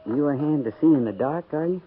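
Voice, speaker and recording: An actor playing a folksy, wry, grumbling frontier cavalry trooper in a 1950s radio western. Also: male voice, fairly high pitch, expressive, fast talker, lightly acted. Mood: wary. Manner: folksy drawling grammar, wry. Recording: muffled, noisy 1950s radio recording.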